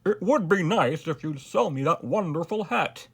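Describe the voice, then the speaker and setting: A storybook narrator voicing a commanding king, loud, emphatic and regal. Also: medium pitch, sing-song, a little slow, husky, pitched above the performer's own voice. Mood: delighted, eager. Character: commanding, stern yet easily delighted storybook king.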